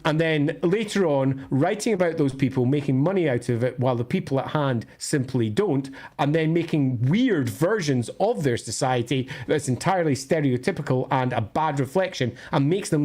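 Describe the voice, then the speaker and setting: A man's voice: a little high, expressive, normal speed, few pauses, darkish tone. A Scottish man hosting a casual livestream, thinking aloud as he answers questions in a discursive, opinionated way with colloquial asides. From northern Scotland.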